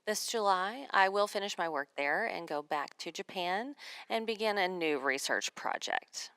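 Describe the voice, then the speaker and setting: A woman working as a sign language interpreter, voicing a signed seminar talk in measured, phrase-by-phrase delivery. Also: medium pitch, expressive, a bit bright, clear, resonant tone, dry recording.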